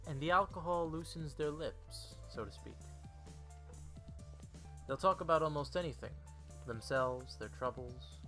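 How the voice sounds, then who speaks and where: A man playing a composed, faintly smug superior who teases his trainee in a visual novel dub, speaking in a measured way. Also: fairly high pitch, bright, forceful tone. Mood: calm explaining. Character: unhurried, smug male superior, teasing mentor. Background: music.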